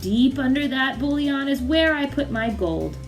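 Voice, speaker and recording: Storybook leprechaun, played by a woman: tiny old man, crafty, crotchety, sharp-tongued and whiny. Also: high voice, animated, a little slow, soft background music, voice pushed up. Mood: grudging. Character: sly, cranky tiny old male leprechaun.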